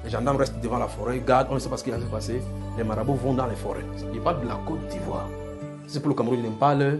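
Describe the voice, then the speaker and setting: A man's voice: mid-range voice, fairly even intonation, rapid-fire, over music. A man with a Francophone African accent preaching prophetic visions off the cuff, repeating phrases and checking that his listeners follow. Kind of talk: extempore prophetic sermon to a congregation.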